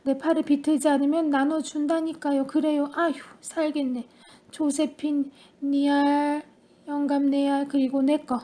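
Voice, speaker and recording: A woman's voice: sits high, little pitch movement, a little slow, mellow. A woman doing a grumpy, complaining old grandmother. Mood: exasperated. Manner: complaining, exasperated.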